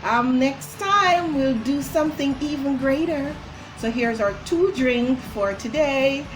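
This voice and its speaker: Higher-voiced Jamaican woman, music behind. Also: expressive, takes it slowly, rough recording. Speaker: co-host, the host's mother. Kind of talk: casual chat to viewers. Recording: music over the talk.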